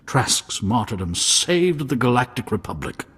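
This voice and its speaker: An actor playing a pompous, apologetic nobleman in a video game, speaking formally and haltingly. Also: mid-range voice, big pitch swings, fairly bright tone, husky, exaggerated voice. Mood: solemn, pleading. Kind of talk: video game cutscene acting.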